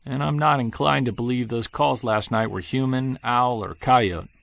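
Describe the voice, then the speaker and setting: An actor playing an earnest, excitable Bigfoot researcher, musing to himself as he records a field log in an audio drama. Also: mid-range voice, normal speed, vocal fry, a little hiss or hum. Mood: focused, cautiously hopeful. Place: outdoors in wilderness sound setting, handheld recorder.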